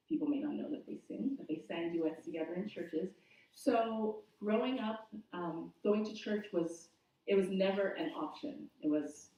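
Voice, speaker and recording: A woman from Zimbabwe in her thirties, sharing her personal faith story at a church service in a steady, reflective way with frequent ums. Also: on the low side. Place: church sanctuary. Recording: echoey room from far off, dull.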